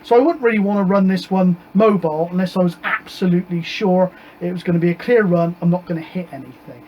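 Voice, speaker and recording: High-pitched British man, rambling casually. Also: fast talker, muffled recording, a little hiss or hum. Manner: hesitant, rambling, informal. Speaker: amateur radio hobbyist presenting antenna tests. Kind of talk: casual explanatory vlog.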